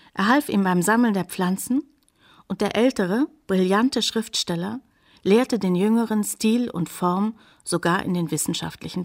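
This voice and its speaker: An actress playing a reflective grown daughter who narrates her father's life in a German radio play with measured storytelling. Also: medium pitch.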